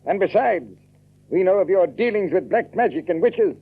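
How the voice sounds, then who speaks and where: A man's voice: high voice, lively intonation, moderate pace, husky, exaggerated voice. A man playing a ruthless, pious Puritan colonel in an audio drama, speaking in commanding, formal oratory full of scripture. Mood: threatening. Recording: narrow-band, old radio sound.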